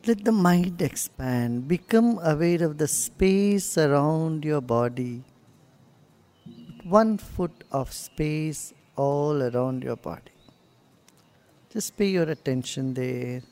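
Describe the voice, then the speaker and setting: A woman leading a guided meditation in a soothing, gently instructing manner. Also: low-pitched, expressive, slow pace.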